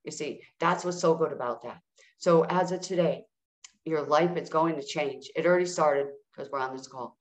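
A woman's voice: sits low, moderate pace, reverberant. A woman guest coaching listeners in a soothing way, often checking in with "all right?". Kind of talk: guided healing talk.

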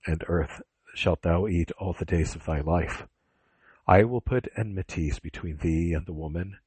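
Man as a deep-voiced, stern God. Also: a little mellow, breathy edge, no echo, rough recording. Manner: solemn, deliberate, authoritative. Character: stern, commanding God passing judgement.

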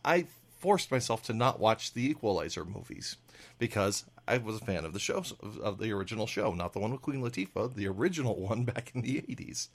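A man on a casual movie panel talks with a lively, swooping pitch. He wanders through a roundabout argument before landing on his rating, chuckling at himself as he goes. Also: brisk, slightly rough. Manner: rambling, builds a roundabout argument, laughs at himself. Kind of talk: casual movie review chat.

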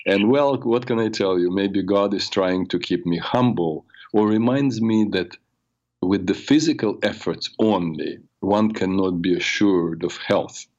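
An older man hosting a radio talk show, raspy from a cold, telling personal stories in a rambling way with many fillers. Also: sits low, a little slow, a little mellow, highs cut off. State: has a cold. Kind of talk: solo talk show monologue.

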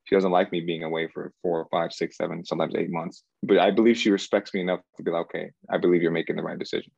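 A man from Cameroon in his thirties, a guest in an interview, talking things through slowly and hesitantly, full of "like" and restarts. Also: deep voice, little pitch movement, vocal fry, darkish tone, slightly rough, no echo, dull audio. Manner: searching, hesitant, repeats himself and says "like" often. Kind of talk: reflective conversational interview.

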